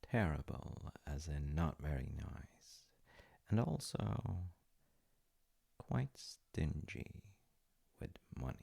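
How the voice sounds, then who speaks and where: Very deep, gravelly man, slow storyteller. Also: big pitch swings. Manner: unhurried, halting, with pauses and soft asides. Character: gentle, playful dad telling his child a folk tale. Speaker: audio roleplay voice actor playing a dad. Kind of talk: intimate comforting roleplay, improvised-sounding bedtime storytelling.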